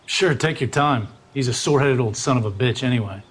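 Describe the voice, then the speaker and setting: An actor playing a deferential, plain-spoken American patrol officer in a video game, dutiful and folksy. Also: male voice, mid-range voice, a little fast, husky, soft background music, lightly acted.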